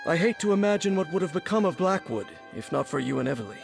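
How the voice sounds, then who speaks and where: Friendly male recruit for a game: creaky, animated, earnest, faint music behind. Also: on the high side, quick pace. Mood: hopeful, grateful. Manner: informal, earnest. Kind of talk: scripted video game dialogue.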